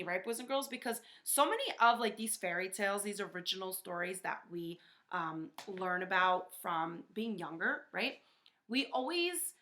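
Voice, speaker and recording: Woman, teacher, bright forceful voice, medium pitch, conversational children's lesson, frequent check-ins. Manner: conversational, checks in often with "right?".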